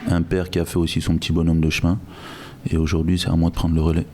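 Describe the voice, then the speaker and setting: A man who grew up in Guinea, speaking as a guest in a podcast interview in a measured, reflective way with frequent restarts. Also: low-pitched, completely flat delivery, warm tone. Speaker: guest, logistics director.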